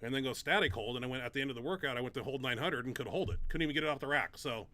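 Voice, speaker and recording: A man's voice: medium pitch, lively intonation, rapid-fire, pushed and bright. A middle-aged man hosting a casual Q&A chat, rambling with many fillers and restarts, his nose stuffed up. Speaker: show host and powerlifter.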